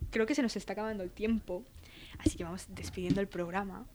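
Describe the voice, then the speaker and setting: A woman's voice: mid-range voice, steady tone, fast, a little mellow, slight background noise. Bubbly young woman co-host. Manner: bubbly, gushing, teasing. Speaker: podcast co-host. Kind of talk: casual chat.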